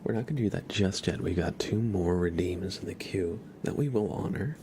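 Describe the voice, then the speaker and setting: A man hosting an ASMR livestream, chatting casually in a hushed voice that often slips into whispers. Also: deep voice, normal speed, noisy background. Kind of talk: casual soft-spoken ASMR stream chat.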